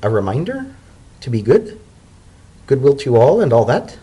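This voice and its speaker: A man's voice: mid-range voice, sing-song, moderate pace, warm tone, breathy edge. A man voicing an aloof, inquisitive female ambassador in a storybook reading, with a dry, formal, probing manner. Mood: dry, skeptical.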